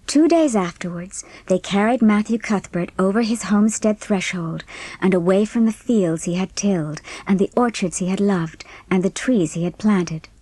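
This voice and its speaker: A woman's voice: medium pitch, moderate pace, mellow, no echo. A woman reading scripted narration for a dramatised audiobook. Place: dry studio.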